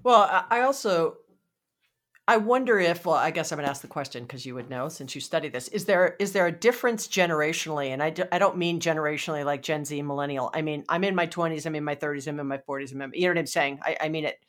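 Chatty host, loose rambling questions, laughs easily, mid-range pitch. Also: fast, fairly bright tone. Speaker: interview host. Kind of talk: conversational interview questions.

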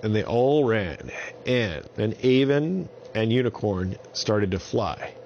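Low, creaky male bedtime storyteller. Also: lively intonation, slow, dull audio, slight background noise. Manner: simple, repetitive phrasing for small children. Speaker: children's storyteller. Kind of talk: improvised bedtime story narration.

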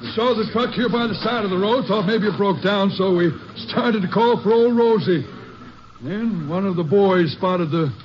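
Man acting a high-pitched rural field hand. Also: close to an everyday voice. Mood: shaken, urgent. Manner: halting, folksy country phrasing. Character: uneasy rural field worker reporting a killing. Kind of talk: old-time radio western acting. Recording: muffled, noisy old radio recording.

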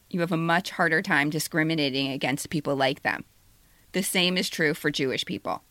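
Bright-voiced, lower-pitched middle-aged woman. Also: no room sound. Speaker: podcast co-host and essayist. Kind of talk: casual chat and personal essay reading.

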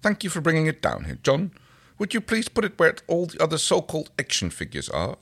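A man voicing a gentle, earnest alien ship's pilot in a story, formal and courteous. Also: on the high side, wildly swooping pitch, fairly quick, creaky, breathy edge, pitched above the performer's own voice, exaggerated voice. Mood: grateful, polite.

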